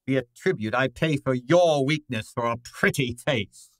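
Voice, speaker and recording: A man's voice: mid-range voice, normal speed, no echo, clean studio sound, close to an everyday voice. A man playing a large, pompous, irritable genius detective in an old time radio style drama, speaking formally and with exasperation. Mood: weary, grudging. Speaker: actor in an audio detective drama.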